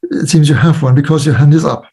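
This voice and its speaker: A man's voice: fairly high pitch, steady tone, fast, vocal fry, mellow, breathy edge. A man moderating an online panel discussion over a video call, affable and jokey, with frequent murmured acknowledgements. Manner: affable, jokey, many back-channel murmurs.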